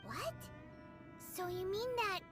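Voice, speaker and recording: A woman voicing a tiny, childlike, feisty fairy companion in a video game, with a squeaky voice. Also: slow pace. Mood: alarmed. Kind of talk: video game cutscene acting. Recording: game audio with prominent music. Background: game soundtrack music.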